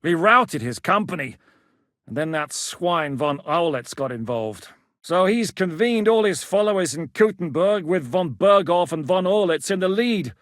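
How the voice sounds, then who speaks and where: An actor playing a shrewd, resolute medieval rebel captain who speaks plainly and with authority in a video game. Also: male voice, sits high, animated, measured pace, fairly bright tone, dry recording, clean studio sound. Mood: grave, urgent. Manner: authoritative, decisive, plain-spoken. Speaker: military leader planning operations. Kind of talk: video game dialogue acting.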